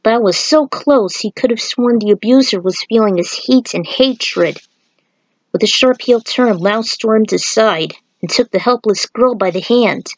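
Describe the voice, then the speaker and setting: A woman's voice: a little high, unhurried, dry recording, poor audio. Woman reading fan fiction, dry close sound. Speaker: fan fiction writer and podfic reader. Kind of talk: amateur story narration with author's notes.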